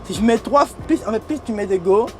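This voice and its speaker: A young man with a high, bright voice and a foreign accent talks in street slang, looping his phrases and breaking into laughs. He is not a native French speaker. He sits inside a limousine, with clear music playing behind him. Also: expressive, fairly quick. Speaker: interviewee. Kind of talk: casual interview talk.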